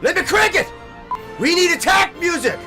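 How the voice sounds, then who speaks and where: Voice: very high voice, animated, normal speed, sharp tone, soft background music, full character voice. An actor playing a cocky, eager young fox hero in a cartoon, brash and breezy. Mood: fired up.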